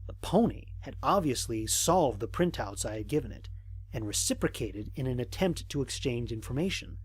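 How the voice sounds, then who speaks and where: Husky man acting as a curious space explorer. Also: medium pitch. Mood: formal, intrigued. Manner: measured, formal, observational. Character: male space explorer, curious, methodical, recording his mission log. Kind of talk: audio drama, first-person narration of a mission log.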